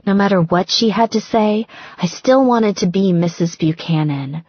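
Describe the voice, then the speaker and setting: Lady doing an excitable young bride, gushing, breathy, lighter voice, audiobook, slightly muffled sound. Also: medium pitch, normal speed, dry recording, pitched above the performer's own voice, lightly acted. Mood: earnest, loving. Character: impulsive, emotional young bride, affectionate and excitable. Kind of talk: romance audiobook dialogue.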